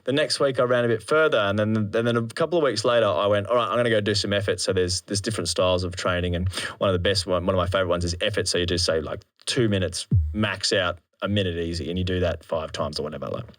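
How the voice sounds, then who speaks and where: An Australian man chatting casually as an interview guest, retelling things in an animated, slangy, sweary way and acting out his thoughts. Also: low voice, quick pace, hardly any pauses. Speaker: interview guest, drum and bass MC. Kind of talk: casual anecdotal chat.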